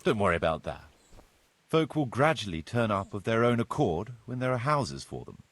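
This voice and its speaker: Video game role: practical, courteous village steward, husky and measured, recorded close and dry. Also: male voice, lowish voice, sing-song, no room sound. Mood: helpful, matter-of-fact. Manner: measured, formal, instructive. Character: practical medieval village steward, courteous, businesslike adult man. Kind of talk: video game cutscene dialogue, explanatory.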